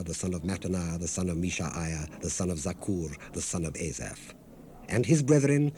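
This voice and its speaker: A man narrating the Bible in a solemn, formal, measured way, letting his phrases fall away at the end. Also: low voice, darkish tone. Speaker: scripture narrator. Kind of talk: solemn dramatized Bible reading. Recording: hissy 1970s cassette rip.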